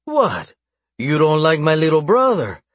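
A man voicing a sadistic crime boss in a dark thriller audiobook, mocking and menacing with a calm, slow delivery. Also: medium pitch, slightly rough, no echo. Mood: mocking delight. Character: sadistic, taunting crime boss, chillingly calm older brother.

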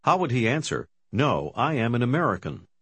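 A man's voice: mid-range voice, very animated, fairly bright tone, no echo. A man giving scripted instructions as the narrator of a language course. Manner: clear, measured prompting. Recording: dry studio.

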